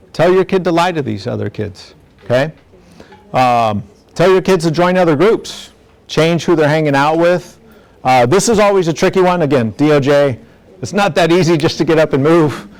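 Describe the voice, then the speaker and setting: A man's voice: a little high, a little mellow, rough recording. A middle-aged man giving an informal, direct community talk to parents as a law enforcement speaker. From National City, San Diego area, United States. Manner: plainspoken, direct, acts out imagined dialogue.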